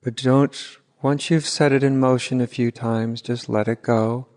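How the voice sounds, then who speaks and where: A man leading an unscripted guided meditation as a teacher, gently and unhurriedly, with long pauses. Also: medium pitch, fairly even intonation. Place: large reverberant room at a retreat. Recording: hall reverb, live retreat recording.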